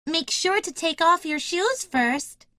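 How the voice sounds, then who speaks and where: A woman playing a polite, earnest, motherly little girl in a children's animated story. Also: very high voice, takes it slowly, full character voice. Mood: motherly, eager.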